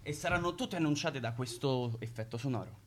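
A man's voice: mid-range voice, brisk, very noisy. A man co-hosting a radio talk show.

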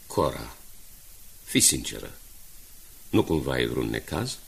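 An actor playing a proud, embattled older newspaper director in a radio drama, formal, commanding and dramatic. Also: male voice, extremely low pitch, big pitch swings, vocal fry, exaggerated voice. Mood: concerned.

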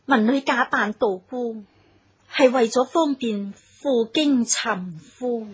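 An actress playing a humble, sorrowful young wife disguised as a nun in a Cantonese radio drama, deferential and plaintive. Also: female voice, on the high side, takes it slowly, heavily acted. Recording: music clearly behind the voice.

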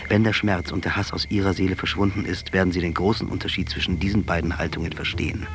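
An actor playing a proud, hardened revolutionary commander, sardonic and deliberate, in a radio play. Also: male voice, low-pitched, flat delivery, noisy background. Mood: grave, stern. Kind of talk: German radio drama dialogue.

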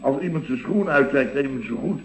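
A man's voice: mid-range voice, brisk, natural voice. An actor playing a chatty, bemused company boss in a vintage Dutch radio comedy, hesitating and repeating himself as he rambles. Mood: bewildered storytelling. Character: male boss of a contracting firm, chatty, bemused houseguest. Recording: dull, boxy vintage radio recording, roomy.

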